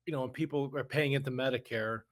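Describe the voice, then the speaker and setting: A man's voice: mid-range voice, fairly even intonation, quick pace. Opinionated middle-aged American man, casual podcast talk. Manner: opinionated, blunt, folksy, uses rhetorical questions and acted-out quotes. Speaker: financial advisor and podcast guest expert.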